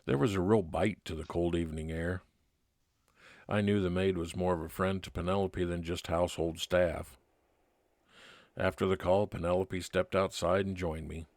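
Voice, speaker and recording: A man playing a kindly, laconic 1950s private detective, narrating his case in old-time radio drama style with measured, plain-spoken delivery. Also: sits low, fairly even intonation, darkish tone, dry recording. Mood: matter-of-fact. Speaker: narrator and lead actor.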